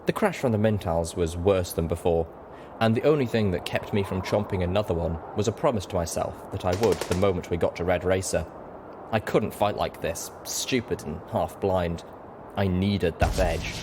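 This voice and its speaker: Male audiobook reader, deep pitch, scripted first-person fiction narration. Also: moderate pace, noisy background.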